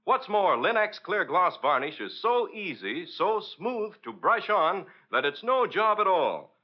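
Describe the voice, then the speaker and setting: A man's voice: high voice, big pitch swings, unhurried. A man announcing on 1940s radio, reading scripted copy in a polished sales style. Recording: muffled old radio broadcast.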